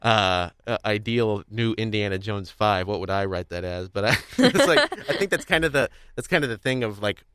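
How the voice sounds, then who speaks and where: A man's voice: fairly low pitch, lively intonation, moderate pace, few pauses, fairly bright tone, smooth, no room sound, studio quality. A man hosting a podcast in casual conversation, speaking in a hesitant, rambling, stop-start way. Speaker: podcast host. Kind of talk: casual discussion of audio drama.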